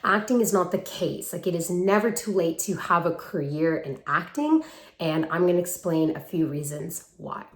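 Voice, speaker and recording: A woman's voice: fairly low pitch, lively intonation, normal speed. A woman in her twenties giving casual talking-head advice to viewers in a chatty, emphatic, affectionate way, recorded on a handheld phone. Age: 20s. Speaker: vlogger and acting coach. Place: room at parents' home, brick wall. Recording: roomy echo from a hard-walled room.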